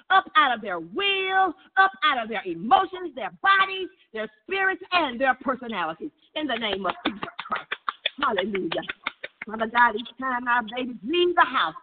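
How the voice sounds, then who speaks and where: A woman leading fervent charismatic prayer in an impassioned, repetitive, chant-like way over a tinny phone line. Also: sits high. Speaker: prayer leader. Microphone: telephone.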